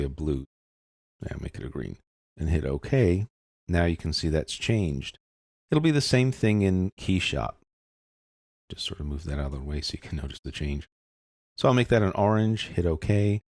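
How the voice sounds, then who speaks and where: A man narrating a software tutorial in a casual, step-by-step explaining manner. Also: sits low, no echo, clean studio sound. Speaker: software instructor.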